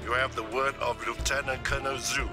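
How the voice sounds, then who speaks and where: Male actor as Chinese colonel, stern, zealous, formal, grandiose, video game briefing, loud music behind. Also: mid-range voice, little pitch movement, fairly quick, lightly acted. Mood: stern resolve.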